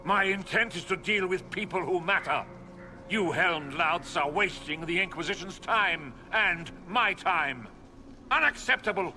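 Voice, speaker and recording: A man's voice: sits high, lively intonation, unhurried, pushed and bright, soft background music, exaggerated voice. An actor playing a haughty, impatient nobleman, pompous and condescending, in a theatrical video game cutscene. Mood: indignant.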